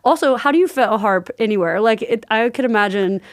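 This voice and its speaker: A woman's voice: a little high, fast talker, dry recording, clean studio sound. A woman hosting a podcast interview, chatty and affirming, asking open questions with plenty of fillers. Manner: chatty, warm-hearted, asks questions with lots of fillers. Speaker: podcast host. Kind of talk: casual conversational interview.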